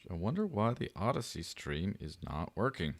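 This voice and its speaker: A man's voice: sits low, very animated, normal speed, no room sound. A man hosting a podcast in casual livestream chat, joking and rambling with a light, buttery voice. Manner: joking, rambling, self-deprecating. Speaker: podcast host.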